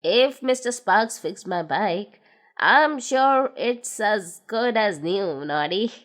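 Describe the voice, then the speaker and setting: A woman voicing an older, fussy gnome who is set in his ways, talking in a lower, firm and emphatic voice. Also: expressive, slow pace, a bit bright.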